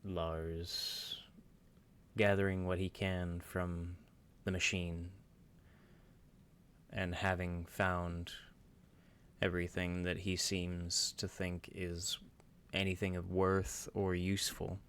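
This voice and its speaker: Male dungeon master, low register, flat delivery, unhurried and measured, pausing often between phrases. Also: smooth. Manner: deliberate, measured, with long pauses. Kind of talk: slow scene narration and table talk for a tabletop game.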